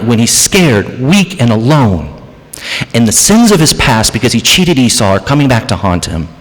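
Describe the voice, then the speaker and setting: A man preaching a sermon as pastor, dramatic, moving between hushed passages and raised, emphatic ones. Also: medium pitch. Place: church sanctuary. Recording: church hall reverb.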